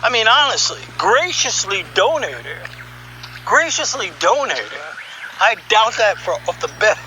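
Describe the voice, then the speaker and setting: Male storyteller, high voice, conversational narration, wry and musing, emphatic pauses, clearly noisy background. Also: very animated, bright, forceful tone. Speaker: storyteller and voice session director.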